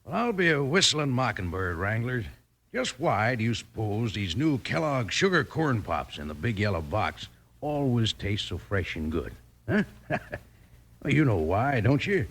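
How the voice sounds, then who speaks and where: Gravelly, folksy cowboy radio pitchman. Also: very animated, a little slow, fairly bright tone, a little hiss or hum. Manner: folksy, exclamatory, salesmanlike. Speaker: radio announcer, cereal pitchman.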